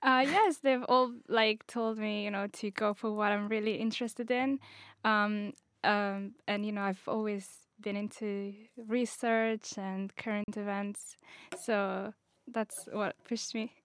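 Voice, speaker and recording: A woman's voice: medium pitch, fairly even intonation, takes it slowly, a bit bright, clear, resonant tone, no room sound, clean studio sound. A woman from Morocco, asking a hesitant question with many filler sounds. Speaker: audience member asking a question.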